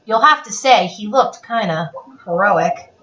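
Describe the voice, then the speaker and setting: Animated woman reading aloud, roomy distant sound. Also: medium pitch, a little slow. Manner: theatrical, expressive, playful. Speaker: read-aloud storyteller. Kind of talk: animated children's picture book read-aloud. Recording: roomy, dull lo-fi, distant mic.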